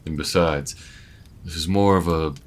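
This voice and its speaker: A man playing an easygoing, weathered alien bounty hunter in an intimate audio roleplay, talking in a folksy, unhurried way with murmured asides. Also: rumbling low, fairly even intonation. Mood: wistful, reflective.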